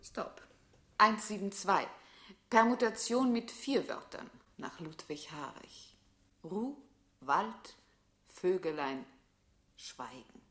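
An actress playing a computer's control voice, clipped and impersonal, announcing commands in a radio play. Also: female voice, fairly low pitch, lively intonation, slow pace, pauses often, fairly bright tone, echoey room.

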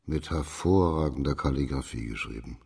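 An actor playing a shrewd, middle-aged judge of imperial China in a radio crime play, formal, measured and authoritative, with a husky voice. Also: male voice, very deep voice, darkish tone, heavily acted. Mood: calm, probing.

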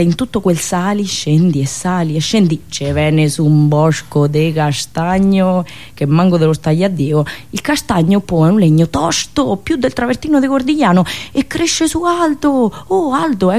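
A woman storyteller with a fairly low voice and a rural central Italian accent. She performs a theatrical monologue expressively, leaning on pauses and repeated words. Her phrasing drifts into country dialect. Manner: expressive, dramatic pauses, repetitions and onomatopoeic asides; slips into rustic dialect. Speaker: storyteller and radio show host.

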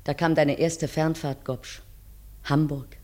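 A woman's voice: deep voice, level tone, slow pace, warm tone. An actress playing a weary railwayman's wife in a 1950s radio play, addressing her husband intimately and dramatically. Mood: reminiscing. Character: weary, pleading railwayman's wife looking back on youth. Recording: 1950s radio recording over music.

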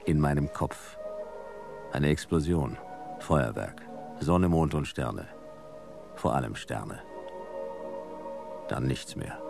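A world-weary noir detective with an extremely low, creaky voice. He speaks in clipped, deadpan fragments as a radio-play narrator, with music behind him. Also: full character voice. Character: laconic hard-boiled private detective, wry and weary. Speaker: narrator and lead character. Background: music and vehicle sound effects.

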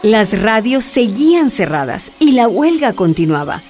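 A woman's voice: fairly high pitch, sing-song, measured pace, over music. A woman performing as the serious narrator of a historical radio drama, delivering scripted documentary narration. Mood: serious, grave.

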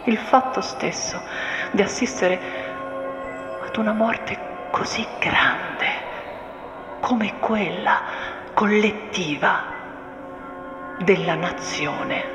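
A woman performing a solemn, deliberate dramatic monologue of literary text, often sinking into breathy whispers. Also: mid-range voice. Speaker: stage actress performing a monologue. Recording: echoey, long reverb, music underneath. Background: music and soundscape.

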